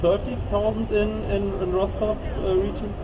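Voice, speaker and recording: A man moderating and interpreting questions at an outdoor rally, halting and repeating words. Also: sits high, flat delivery. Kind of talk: moderating a public Q&A. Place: outdoor city square. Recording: distant mic, street noise.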